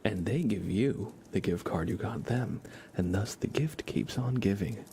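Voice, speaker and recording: Male ASMR streamer, low-pitched, hushed and whispery, playful rambling chat, faint background noise. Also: expressive, unhurried. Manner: rambling, playful asides to viewers. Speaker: livestream host. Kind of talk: casual ASMR livestream chat, often whispered.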